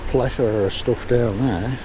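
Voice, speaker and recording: A middle-aged man talking to himself while metal detecting on a beach, muttering with excited bursts, on a body-worn camera mic outdoors. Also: mid-range voice, normal speed. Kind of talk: casual commentary while detecting. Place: outdoors on a sandy beach by a pier. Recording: loud wind and surf noise outdoors. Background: wind and waves.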